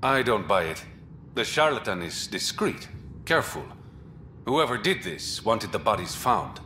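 Game smuggler, male: very low, bright voice, roguish and confident, faint ambient noise behind. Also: very animated, a little slow, full character voice. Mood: serious, intent. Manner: smooth, sly, teasing, confident. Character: suave, roguish adult male smuggler and informant. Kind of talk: video game dialogue acting.

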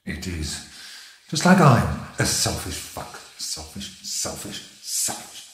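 An anxious boyfriend, deep and swooping. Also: male voice, sing-song, slow pace, fairly bright tone, heavily acted. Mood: anxious self-blame. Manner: swings between forced casual cheer and fretful self-talk. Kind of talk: radio drama acting with whispered inner monologue. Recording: roomy radio drama with faint music.

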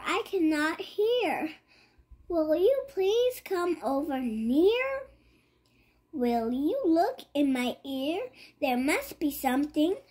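Slow, high-voiced child reading aloud. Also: darkish tone. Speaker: child reader. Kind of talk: reading a picture book aloud.

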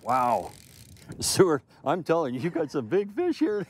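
A man's voice: on the high side, slow pace, breathy edge. An older man hosting a fishing show from a small boat on a lake, talking and exclaiming as he fights fish. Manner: excitable, laughing, exclaiming. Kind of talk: on-the-water fishing commentary.

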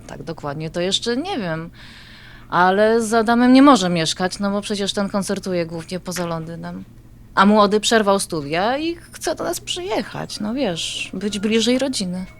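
A woman's voice: mid-range voice, lively intonation, moderate pace, lightly acted. A woman playing an affectionate adult granddaughter in an audio drama, talking in a coaxing, familiar way.

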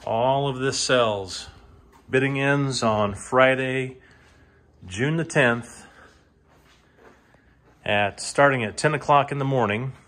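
Male auctioneer, mid-pitched voice, informal house tour delivery, halting, leans on repetition for emphasis. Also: fairly bright tone. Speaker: auctioneer giving a walk-through tour. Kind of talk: informal narrated walk-through of sale items. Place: indoors, moving through rooms of a large house. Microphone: handheld phone or camera, held by the speaker.

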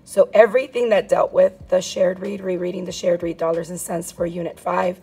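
A hoarse woman in her forties talks to her phone at arm's length from a classroom. Her voice is strained and rough, and her delivery is chatty and easygoing, a teacher catching viewers up on her day. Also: fairly low pitch, steady tone, normal speed, dark tone. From South Florida. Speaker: fourth grade teacher. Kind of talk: casual vlog talk to camera. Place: classroom and car, indoors. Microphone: phone held at arm's length.

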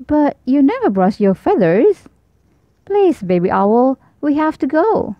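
Woman doing a gentle mother owl, storybook figure, lively raised pitch, dry dull sound. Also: takes it slowly, dry recording, exaggerated voice. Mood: coaxing. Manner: gently coaxing. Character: patient coaxing mother owl.

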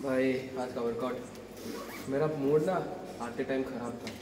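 A man's voice: medium pitch, fairly even intonation, normal speed, noisy background. A young man talking casually to his phone camera in a gym, reporting his sets and weights, a little out of breath. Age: young adult. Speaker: fitness vlogger. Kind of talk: casual workout vlog narration. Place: indoor gym. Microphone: handheld phone camera. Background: loud gym music.

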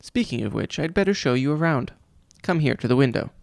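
A man's voice: mid-range voice, lively intonation, brisk, gravelly, no echo, clean studio sound. A man voicing a pompous, condescending vice principal who thinks himself a genius, read for a children's storybook. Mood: pompous, scolding. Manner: condescending, mocking. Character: pompous, cruel male school vice principal, self-declared genius.

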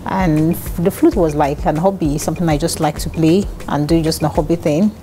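Nigerian woman in her thirties, animated studio guest, lowish voice over a music bed. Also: fairly quick. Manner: animated, laughs often, restarts sentences. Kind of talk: casual interview chat. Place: dark television studio set, seated in armchair. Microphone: lapel mic.

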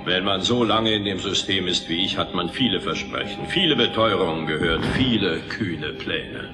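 An actor playing a weary, gruff old guardian in a radio play, theatrical and solemn. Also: male voice, sits low, normal speed, natural voice. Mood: weary, relenting. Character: old, weary guardian program of a tower, gruff but wise. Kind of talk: dramatic radio play acting. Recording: echoing voice under music.

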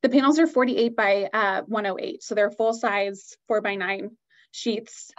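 A woman's voice: medium pitch, steady tone, a little slow, highs cut off. A woman giving an upbeat, enthusiastic product presentation on a video call.

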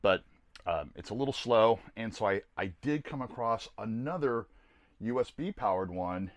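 Casting: older American male vlog host, deepish voice, rambling everyday delivery, very little room sound. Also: animated, normal speed, no echo. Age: older, around 60s. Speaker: host of a camping gear video series. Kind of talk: casual product review to camera. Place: small camper trailer interior.

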